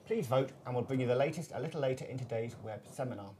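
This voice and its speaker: A man's voice: mid-range voice, quick pace. Male web seminar host with noticeable background noise. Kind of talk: presenting a business web seminar from a script.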